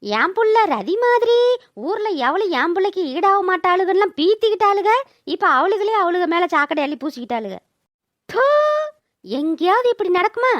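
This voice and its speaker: A woman's voice: very high voice, sing-song, normal speed, fairly bright tone, no echo, muffled recording, exaggerated voice. A woman voicing a scolding, mocking, gossipy village woman in a comedy cartoon, with speech. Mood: indignant scolding.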